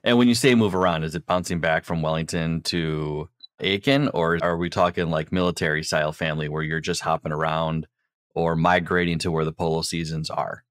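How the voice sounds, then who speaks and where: A man hosting a relaxed podcast interview, talking in a very low voice. He chats loosely, rambles and often restarts his sentences. The sound is dry and close. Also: brisk, dry recording. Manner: chatty, rambling, with repeated restarts. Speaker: podcast host. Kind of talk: casual interview.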